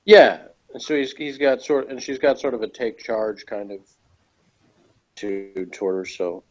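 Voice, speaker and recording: Guy chatting about a show on a muffled lo-fi call, kinda tentative, rambling along, middling pitch. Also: level tone, a little slow. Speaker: co-host or guest reviewer. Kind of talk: casual review chat. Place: online call. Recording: dull lo-fi online call.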